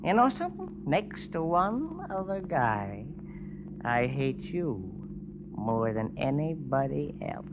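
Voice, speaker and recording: A man's voice: a little high, sing-song, takes it slowly, full character voice. An American actor playing a drunken, childish young rich man in an old radio drama, maudlin and rambling. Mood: maudlin, boozy. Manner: boozy, rambling, repetitive. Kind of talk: 1940s-50s radio drama acting. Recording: muffled, noisy vintage radio recording.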